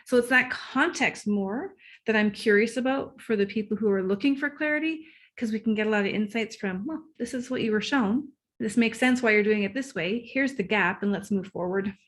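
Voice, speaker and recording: A woman guest, a relationship coach, talking in a casual interview. She is excitable, laughs easily and sometimes loses her train of thought. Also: mid-range voice, fairly quick.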